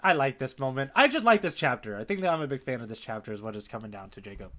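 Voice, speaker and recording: A man's voice: mid-range voice, big pitch swings, rapid-fire, fairly bright tone. A man co-hosting a podcast, chatting casually and enthusiastically as he describes comic panels. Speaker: podcast co-host and tour guide.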